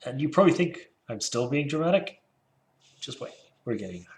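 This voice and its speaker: A man's voice: medium pitch, sing-song, brisk. A man reading a book aloud and critiquing it casually, with sardonic, rambling asides. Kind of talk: casual solo commentary with read-aloud passages.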